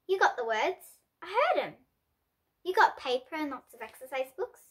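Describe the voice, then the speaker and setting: A young reader, presented as a child, reading a children's picture book aloud with expressive delivery and lightly voiced characters. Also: female voice, sits high, moderate pace. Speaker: storybook reader.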